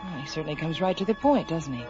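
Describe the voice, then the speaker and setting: A woman playing a composed, brisk space station research director in a radio drama, speaking conversationally. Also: low voice, lively intonation. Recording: dull old radio drama, music behind.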